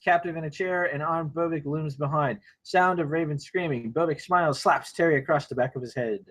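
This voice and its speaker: A man's voice: fairly high pitch, a little fast, a bit bright, low-quality recording. A man narrating a screenplay table read, reading action lines aloud informally with wry asides.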